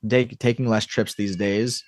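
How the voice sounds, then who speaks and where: A man speaking as a podcast guest in an informal, halting casual chat. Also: on the low side, fairly even intonation, darkish tone, no room sound. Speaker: podcast guest, audio drama creator.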